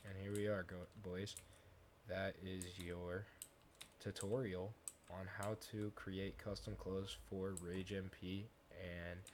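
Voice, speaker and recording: A man casually talking through a software tutorial step by step, in an informal way. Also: low voice, little pitch movement, slow. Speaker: tutorial host, gaming content creator. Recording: noisy home recording with keyboard clicks.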